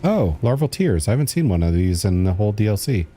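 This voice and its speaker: A male streamer with a fairly low, mellow voice, chatting casually over his gameplay. He jokes around and laughs easily as he talks. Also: very animated, fast talker, slight background noise. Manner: joking, laughs often. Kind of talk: casual chat while playing a video game.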